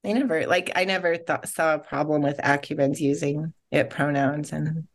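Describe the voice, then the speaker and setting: A woman's voice: sits low, steady tone, normal speed. A woman author, a guest on a podcast, talking in a chatty, giggly, easygoing way. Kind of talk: casual interview.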